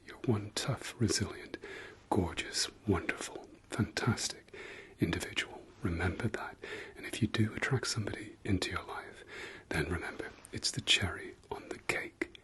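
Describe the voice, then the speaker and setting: A man whispering softly through an ASMR eye-exam roleplay, repeating gentle praise and soothing words. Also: fairly low pitch. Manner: slow soft whisper, repetitive soothing praise. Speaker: ASMR creator.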